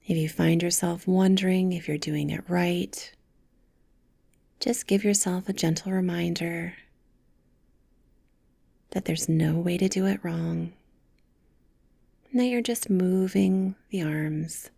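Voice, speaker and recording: A woman leading a guided meditation with soft, gentle, soothing instructions, breathy and often close to a whisper. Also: on the low side, steady tone, takes it slowly, pauses often, no room sound.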